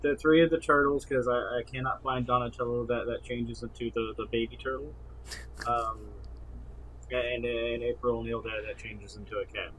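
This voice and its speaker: Man, medium pitch, halting, repeats words, casual podcast chat, slight noise. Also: flat delivery, a bit bright, poor audio. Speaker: podcast co-host.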